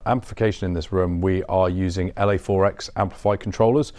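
Male showroom guide, thirties, low-pitched, informal explanatory talk, conversational, dry indoor recording. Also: fairly even intonation, fairly quick, a little mellow, no echo. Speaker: showroom guide, company representative. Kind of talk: informal technical explanation on a tour. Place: indoor audio showroom and studio room.

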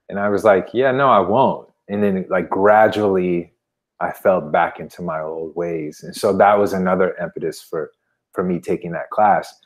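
A man in his thirties with a low voice talks casually on a video call. He shares things in an informal, reflective way, as a podcast guest would. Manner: informal, anecdotal, frequent "you know". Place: indoor room at home, video call. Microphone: wired earbuds on a video call.

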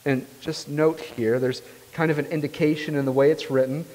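A young male pastor preaching from a pulpit in a church sanctuary, his fairly high voice rising to stress key points. He speaks earnestly and often checks in with his listeners. The sound is picked up from a distance, with the long reverb of the hall trailing behind him. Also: fairly even intonation, moderate pace, darkish tone. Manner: earnest, rising emphatically on key phrases, often asks "right?". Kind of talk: sermon. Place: church sanctuary, large room. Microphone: far camera, speaking at a pulpit.

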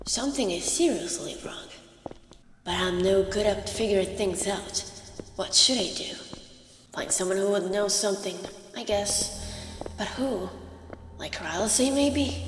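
A woman's voice: medium pitch, natural voice. A woman voicing a brash, hot-tempered teenage monster girl in a dramatic comic dub, blunt and confrontational but caring underneath. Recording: background music under the voice. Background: music.

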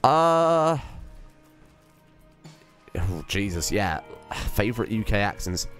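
A man's voice: on the low side, sing-song, takes it slowly, a bit bright, soft background music. A British man co-hosting a casual chat while playing a game, teasing and swearing playfully. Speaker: co-host. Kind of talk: casual chat over gameplay.

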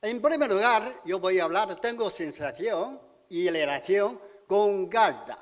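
A man in his sixties from China, speaking halting, simple Spanish with a Chinese accent in a public speech at a podium in a large auditorium. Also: sits high, expressive. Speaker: community speaker at civic event. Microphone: podium microphone. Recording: hall reverb.

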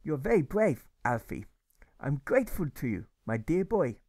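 Man doing a courteous German grocer with a German accent, pitched high, dry close sound. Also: big pitch swings, normal speed, no echo. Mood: grateful. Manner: gentle, courteous, says yeah often. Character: kindly older German shopkeeper man, gracious.

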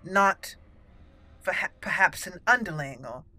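A woman hosting a roleplay let's play, musing aloud and talking in character with deliberate, halting, dramatic emphasis. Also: on the low side, expressive, pushed and bright. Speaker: gaming channel host, roleplayer.

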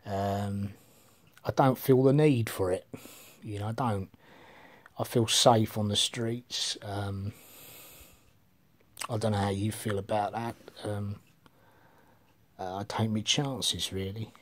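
A man's voice: fairly low pitch, fairly even intonation, slow, pauses often. Rambling, swearing middle-aged man. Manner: meandering, swears casually, trails off. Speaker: vlogger. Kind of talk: rambling casual monologue. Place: living room with bookshelves, indoors. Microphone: phone camera held at arm's length.

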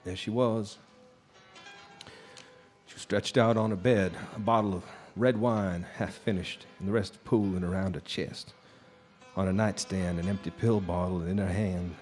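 Low male voice acted, brooding blues musician, world-weary, halting, haunted, radio drama, music underneath. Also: fairly even intonation, a little mellow. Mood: haunted, grave. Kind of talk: live radio drama acting. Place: live stage performance of a radio drama.

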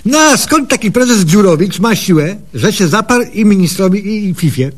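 High-pitched gullible country bumpkin. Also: male voice, animated, moderate pace. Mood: puzzled, trusting. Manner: naive, folksy, wondering. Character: simple, gullible, eager male country patient. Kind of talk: comic radio sketch acting.

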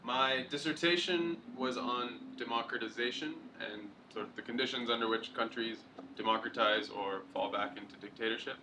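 A man's voice: medium pitch, normal speed, noisy background. A man from Pakistan in his thirties introducing himself fluently at a seminar table. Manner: fluent, articulate. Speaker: new postdoc participant. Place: conference room around a table.

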